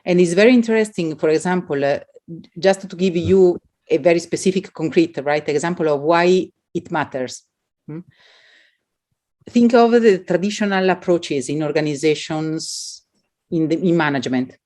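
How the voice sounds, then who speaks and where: Low-voiced Italian-accented woman academic. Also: normal speed, darkish tone. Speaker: academic guest speaker. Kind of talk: academic talk answering audience questions.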